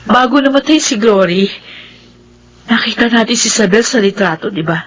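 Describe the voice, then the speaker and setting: A woman's voice: on the high side, expressive, moderate pace, slight background noise, lightly acted. A woman with a Filipino accent playing an anxious, suspicious young woman in an emotive radio drama style. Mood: uneasy, insistent.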